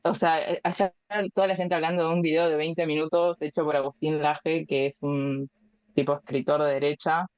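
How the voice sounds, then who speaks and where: Young Argentine woman, clear voice. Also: sits low, flat delivery, normal speed, dry recording, dull audio. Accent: Argentine Spanish. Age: 20s. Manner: thoughtful, hesitant, many fillers. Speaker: guest. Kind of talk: casual interview chat.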